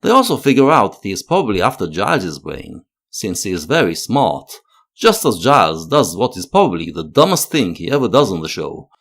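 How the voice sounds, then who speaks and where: A man narrating a scripted analytical video essay in a measured, expressive way. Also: lowish voice.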